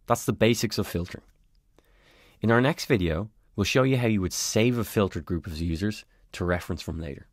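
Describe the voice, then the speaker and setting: A man calmly narrating a step-by-step software tutorial as a voice-over on a screen recording. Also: sits low, no room sound, studio quality. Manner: calm, step-by-step explanatory.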